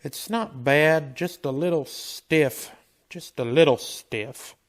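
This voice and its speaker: Man as western radio marshal: weary, terse, steady under threat, slightly high male pitch. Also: slow. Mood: dry, steady. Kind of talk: western radio drama acting.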